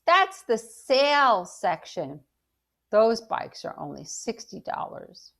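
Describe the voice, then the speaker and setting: A woman's voice: a little high, very animated, slow pace, vocal fry, a bit bright, exaggerated voice. A woman storyteller voicing a blunt, matter-of-fact female clerk in a secondhand store. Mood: exasperated.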